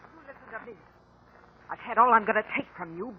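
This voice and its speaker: A woman's voice: fairly high pitch, brisk, husky. Hard, brazen woman, tinny radio. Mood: threatening. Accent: American. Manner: tough, sarcastic, slangy. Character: hard, brazen, greedy ex-convict mother, sneering and defiant. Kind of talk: 1950s American radio drama acting. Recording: tinny, narrow 1950 radio recording.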